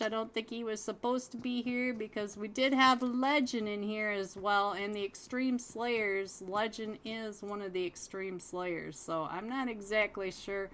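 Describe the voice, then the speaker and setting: A woman casting an online esports match alone, chatty and giggly, getting excited at big plays. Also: fairly high pitch. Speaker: esports caster. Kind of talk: solo live game commentary.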